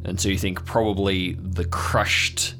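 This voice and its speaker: A man narrating an improvised tabletop adventure as game master, descriptive and pausing often mid-sentence. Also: low voice, flat delivery. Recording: music playing behind the voice. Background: background music.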